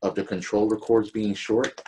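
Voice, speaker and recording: A man's voice: on the low side, flat delivery, moderate pace, warm tone, a little hiss or hum, poor audio. A man in his late thirties in a casual group chat, blunt and emphatic, swearing freely. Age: 30s.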